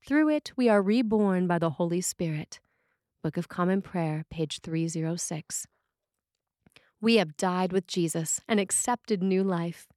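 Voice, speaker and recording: A woman's voice: fairly low pitch, a little slow, darkish tone, no echo. Woman reading a sermon, very dry sound. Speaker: sermon reader. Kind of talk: sermon read from a script.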